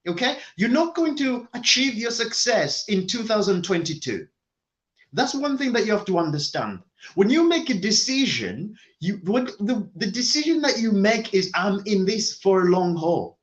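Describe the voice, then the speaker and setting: A man's voice: high-pitched, very animated, normal speed. A man giving a motivational talk, speaking emphatically and repeating his key phrases.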